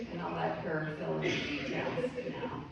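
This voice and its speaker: A woman hosting a memorial service, telling stories about the deceased in a calm, warm-hearted way and introducing the next speaker. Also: sits low, creaky, highs cut off. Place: memorial gathering in a large room. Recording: echoey hall, distant mic, faint hum.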